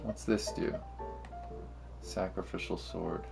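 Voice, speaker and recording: A man's voice: sits low, fairly even intonation, takes it slowly, creaky. A man giving casual gameplay commentary to livestream viewers, slangy and musing aloud to himself, with game music playing behind him. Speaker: game streamer.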